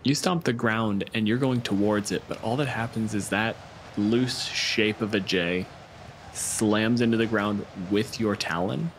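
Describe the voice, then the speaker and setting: Low-voiced man running a tabletop game. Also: a little hiss or hum. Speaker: game master. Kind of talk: casual tabletop game narration.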